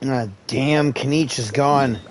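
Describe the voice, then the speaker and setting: A man's voice: medium pitch, slow, slight background noise. A man streaming a game live, chatting casually, reading dialogue aloud and reacting with enthusiasm. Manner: animated, excitable, reads dialogue aloud. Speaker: gaming streamer. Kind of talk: casual live commentary while playing.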